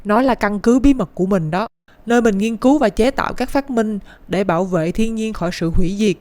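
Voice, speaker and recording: A woman's voice: mid-range voice, a little fast, dark tone, no room sound, lightly acted. A woman voicing a talking rooster scientist in a cartoon, secretive and earnest, with a southern Vietnamese accent and an explanatory, dramatic delivery. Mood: solemn, persuasive. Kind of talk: animated cartoon dialogue. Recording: music behind the voice.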